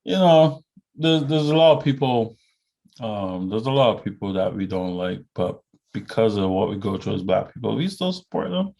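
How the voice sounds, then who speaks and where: A man co-hosting a podcast, talking reflectively in casual chat with halting restarts. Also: on the low side, very animated, gravelly, darkish tone. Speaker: podcast co-host.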